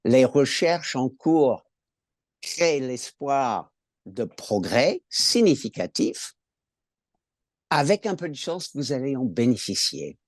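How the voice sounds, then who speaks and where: A man in his seventies from California with an American accent, talking slowly and warmly as a patient guest in a webinar interview. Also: medium pitch, sing-song, no room sound, clean studio sound. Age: 70s.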